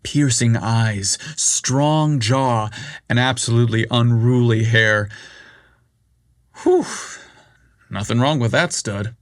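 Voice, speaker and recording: A man reading a story aloud as narrator, in a measured, unhurried way. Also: mid-range voice, no room sound, studio quality.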